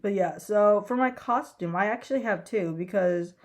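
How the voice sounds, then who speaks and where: A woman's voice: medium pitch, normal speed. A young woman, a college student, chatting casually while getting ready, stuffed up and congested, rambling with lots of fillers, swearing and laughing. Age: young adult. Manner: rambling, profane, giggly, many fillers. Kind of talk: casual get-ready-with-me vlog chat.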